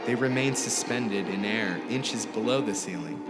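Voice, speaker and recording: A man reading a short story aloud in a deliberate, theatrical style, drawing out some words. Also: fairly low pitch, rough recording. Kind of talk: dramatic scripted story narration. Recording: music score under the voice. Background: music score.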